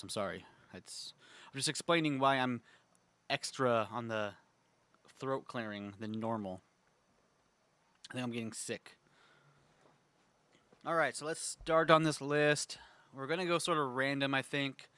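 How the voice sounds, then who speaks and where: A young man with a congested throat, chatting casually as a gaming host, rambling with lots of fillers and frequent throat clearing. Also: medium pitch, measured pace, pauses often, bright, forceful tone. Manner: rambling, filler-heavy, enthusiastic. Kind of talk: casual tier-list chat.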